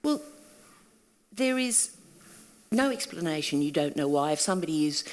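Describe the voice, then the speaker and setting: A woman's voice: deep voice, animated, normal speed, a little mellow, big-room sound. An older Australian woman speaking at a panel event, earnest and reflective, dropping at times to a near whisper. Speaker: panel guest, workshop leader. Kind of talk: candid panel talk. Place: event hall.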